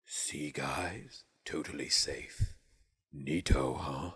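Cartoon skeleton father, male actor: rock-bottom pitch, heavily rasping, easygoing. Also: very slow, fairly bright tone, faint music behind, exaggerated voice. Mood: casual. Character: laid-back, careless skeleton dad. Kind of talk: fan comic dub acting.

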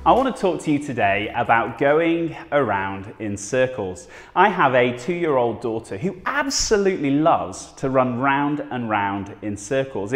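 A man's voice: fairly high pitch, long reverb tail. A pastor in his thirties giving an earnest, conversational sermon from a small living room at home, talking with his hands. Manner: earnest, conversational, gesturing while speaking.

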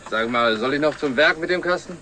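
An actor playing a matey, respectful truck driver in a 1960s TV drama. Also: male voice, on the high side, expressive, brisk, sharp tone. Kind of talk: 1960s German television drama acting. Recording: noisy old TV film soundtrack.

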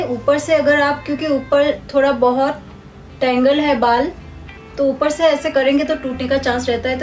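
A young woman with a slightly high voice talks through a hair care routine in a calm, patient, instructive way. She sits some way back from the camera's mic, so her voice sounds distant. Clear music plays behind her the whole time. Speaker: beauty vlogger. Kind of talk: step-by-step tutorial. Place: small room indoors.

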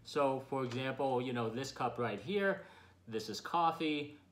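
A man's voice: mid-range voice, a little slow, fairly bright tone. Man, 40s, doctor at his office desk, patient explainer with everyday examples, close webcam sound. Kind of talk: explanatory demonstration. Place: office with framed pictures, indoors.

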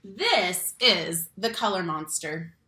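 A young adult woman reads a picture book aloud to young children, expressively, stressing key words and speaking to the listeners directly. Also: on the low side. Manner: animated, slow emphatic phrasing on key words, addresses children directly. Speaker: read-aloud teacher and storyteller. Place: small room at home, indoors. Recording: roomy home webcam sound.

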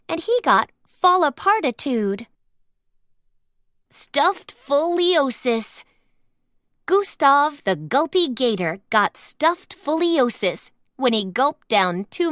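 A woman's voice: sits high, a bit bright, dry recording, studio quality. A woman narrating a children's read-aloud storybook, expressively sounding out each phrase.

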